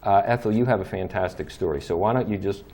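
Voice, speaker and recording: A man's voice: low-pitched, quick pace, warm tone. A man hosting a local talk show, easygoing and encouraging with short replies. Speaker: host.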